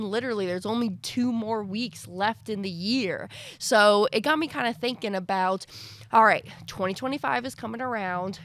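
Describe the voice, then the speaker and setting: A young woman hosting a podcast in a chatty, folksy way. She is congested and stuffy-nosed from allergies. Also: mid-range voice. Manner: chatty, folksy, says y'all often. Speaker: podcast host. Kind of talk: casual podcast talk to viewers. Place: screened-in patio at a house.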